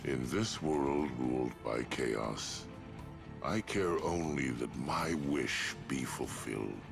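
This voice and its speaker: An actor playing a solemn, brooding sorcerer and older brother in a video game, speaking formally and gravely over music. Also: male voice, very deep voice, expressive, slow pace, exaggerated voice. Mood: stern, grave. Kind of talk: theatrical video game cutscene acting.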